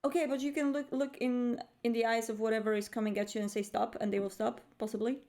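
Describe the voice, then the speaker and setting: A woman's voice: fairly high pitch, little pitch movement, quick pace, a little mellow. A woman roleplaying casually as a player in a tabletop game, hesitant and playful in how she talks. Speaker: tabletop role-playing player. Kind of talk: casual in-character roleplay chat.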